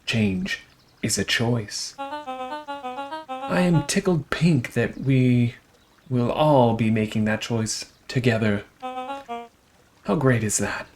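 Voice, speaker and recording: A man's voice: medium pitch, big pitch swings, very slow, long pauses, soft background music. A man voicing a smug, folksy festival speaker in a game, oratorical and sarcastic. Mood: smug, cheery.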